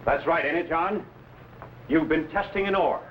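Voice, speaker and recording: An actor playing a brash, opportunistic gold-rush newspaperman in an old adventure serial, forceful and declamatory. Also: a little high, moderate pace, natural voice. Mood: excited, triumphant. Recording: dull, faintly noisy vintage recording.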